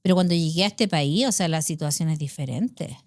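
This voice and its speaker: A woman's voice: deep voice, fairly quick, darkish tone. A middle-aged woman with a Chilean accent, hosting a casual podcast chat and telling stories with frequent tag questions. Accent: Chilean Spanish. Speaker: podcast host.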